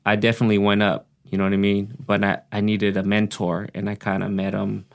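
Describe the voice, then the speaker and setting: A man's voice: lowish voice, completely flat delivery, fairly quick, creaky. A man in his forties, born in the Philippines, speaking as a guest in a casual podcast interview in a laid-back, reflective way. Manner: laid-back, reflective, often says "you know what I mean". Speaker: guest, author.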